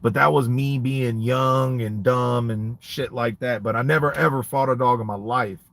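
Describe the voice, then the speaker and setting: A man in his late thirties talks easy and loose on a phone livestream, tossing in "man" and "you know" as he goes. His voice sits in an ordinary middle pitch, relaxed and unhurried. He answers comments like he's chatting with friends. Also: creaky. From United States. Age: 30s. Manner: laid-back street slang, frequent "man" and "you know". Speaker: dog breeder hosting a livestream. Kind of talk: casual livestream chat reading comments.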